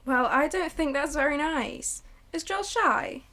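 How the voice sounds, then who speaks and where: A woman voicing a patient, gently teasing mother in a student radio drama. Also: high voice, a little slow, pitched above the performer's own voice. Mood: indulgent. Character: amused, patient mother of a young girl.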